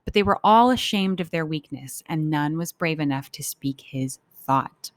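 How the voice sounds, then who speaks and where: A woman's voice: deep voice, lively intonation, moderate pace, no echo. A woman teacher reading a story aloud for children and explaining it informally as she goes. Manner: informal, explanatory, acts out thoughts.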